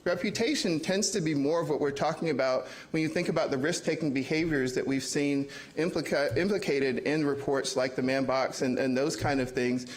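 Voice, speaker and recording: A man speaking as an academic panelist, measured and hedging with "sort of" and "you know". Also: on the high side, rough recording. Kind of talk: panel talk.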